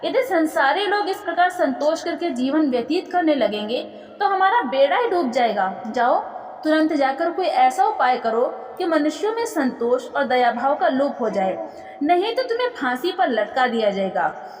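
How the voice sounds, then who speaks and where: Imperious demon king voiced by a high-pitched woman. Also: sing-song, normal speed. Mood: angry, threatening. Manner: imperious, exclamatory. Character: scornful gloating male demon king of unrighteousness. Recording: echoey room with long reverb.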